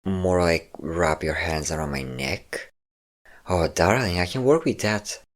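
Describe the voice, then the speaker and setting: A man playing a possessive, mocking vampire lord in an intimate audio roleplay, with a smug, teasing manner and drawn-out endearments. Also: low voice, expressive.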